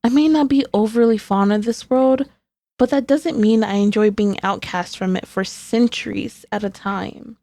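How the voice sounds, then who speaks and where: A woman's voice: mid-range voice, normal speed, warm tone, no echo, natural voice. A woman playing a sassy, sneaky, possessive centuries-old female demon in an ASMR roleplay, speaking in a haughty, teasing, formally old-fashioned way. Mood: wry, resentful. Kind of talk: ASMR roleplay, scripted character acting.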